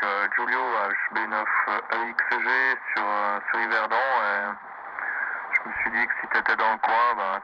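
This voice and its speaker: A man with a Swiss French accent chatting casually and hesitantly over amateur radio, as a radio operator. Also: lowish voice. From Switzerland. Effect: shortwave radio transmission. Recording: very noisy, narrow-band shortwave radio reception.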